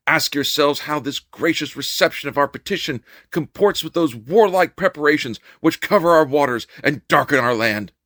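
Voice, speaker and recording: A man's voice: a little high, bright, forceful tone, no echo, studio quality. A man performing a fervent American revolutionary orator in a dramatic reading, with an impassioned, declamatory, emphatic delivery. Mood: solemn, resolute. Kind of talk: dramatic reading of an 18th-century political speech.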